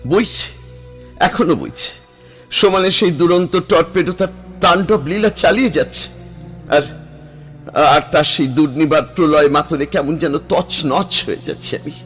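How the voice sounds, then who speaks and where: An actor playing Akash, a lonely young bank clerk with a Kolkata Bengali accent, narrating in a halting, brooding way. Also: male voice, a little high, fairly bright tone. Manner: brooding, halting, with repeated starts. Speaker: narrator and lead. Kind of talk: first-person radio drama narration.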